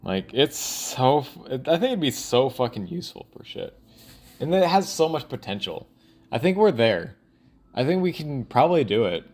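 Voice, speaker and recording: A man thinking aloud in a rambling, casual audio log, halting and often trailing off mid-thought. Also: mid-range voice, very animated, a little mellow. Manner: casual, profane.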